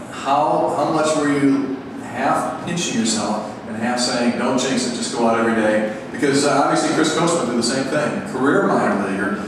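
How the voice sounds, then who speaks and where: A man moderating a live Q&A with a ballplayer in a jovial, chatty way. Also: medium pitch. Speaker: event moderator. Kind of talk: hosting a live Q&A. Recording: echoey hall, distant mic, crowd noise.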